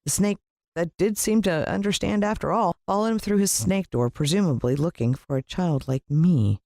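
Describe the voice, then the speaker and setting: A woman with an American accent giving a dramatic, expressive scripted narration of a children's story. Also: on the low side, moderate pace, dry recording, clean studio sound. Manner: dramatic, playing a child's first-person point of view.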